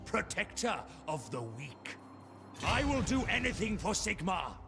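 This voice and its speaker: A man's voice: high-pitched, very animated, unhurried, gravelly, over music, heavily acted. An actor voicing a devout male knight who champions his faith, declaiming proud battle lines in a video game. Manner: proud, declaiming unit barks.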